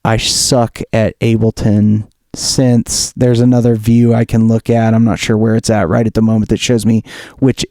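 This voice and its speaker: A man giving a casual software tutorial, thinking aloud in an informal way as he demonstrates. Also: lowish voice, vocal fry, dry recording. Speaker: music producer demonstrating software.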